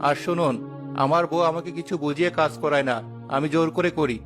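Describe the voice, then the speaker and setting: A man voicing a firm, kindly village husband and father in a cartoon, measured and reasoning. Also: a little high, fairly even intonation, over music, close to an everyday voice. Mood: stern.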